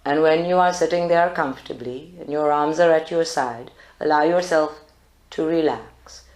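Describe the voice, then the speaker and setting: Low-voiced woman guiding meditation. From South Africa. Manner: calm, deliberate, instructive. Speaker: meditation guide. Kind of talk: live voice-guided meditation. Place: indoor group meditation room. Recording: roomy live recording.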